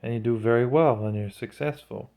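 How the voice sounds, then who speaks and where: A man's voice: medium pitch, a little fast, gravelly, dull audio. A man delivering an informal, sermon-like monologue as a religious vlogger, speaking in an earnest, admonishing way. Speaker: vlogger giving religious commentary.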